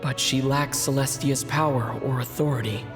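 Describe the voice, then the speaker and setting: A man playing a calm, thoughtful young traveler in a video game cutscene, speaking politely and reflectively. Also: mid-range voice, normal speed, close to an everyday voice. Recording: reverberant, with music behind.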